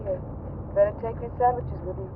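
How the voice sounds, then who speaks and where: Foreboding wife on tinny radio. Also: female voice, medium pitch, steady tone, a little fast. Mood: resigned, knowing. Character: devoted, knowing, quietly foreboding wife. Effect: vintage car radio filter. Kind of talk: 1940s radio drama acting. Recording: tinny noisy vintage radio.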